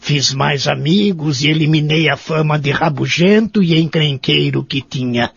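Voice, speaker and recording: An actor playing a doubting, grumpy old man in an audio drama, speaking formally and reflectively with a husky, creaky voice. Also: fairly high pitch, moderate pace, no echo, dull audio. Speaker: narrator and lead character. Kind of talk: audio drama acting and narration.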